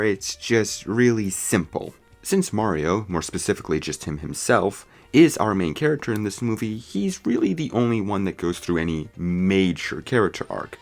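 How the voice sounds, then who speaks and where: A man narrating a scripted movie review as commentary. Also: sits low. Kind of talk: scripted video essay commentary.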